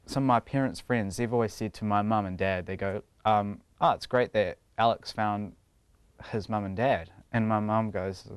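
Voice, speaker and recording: A young man with a New Zealand accent talks casually through an interview, often laughing and restarting his sentences. His voice sits a bit low and comes across smooth and clear. The sound is dry, with no room echo. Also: moderate pace.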